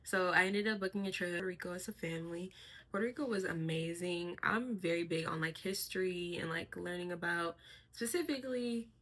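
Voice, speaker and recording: A young woman talking casually to her camera in a personal vlog, soft-spoken and reflective, with a voice she calls monotone. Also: fairly low pitch, brisk. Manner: soft-spoken, reflective, with frequent fillers and light laughs. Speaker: vlogger.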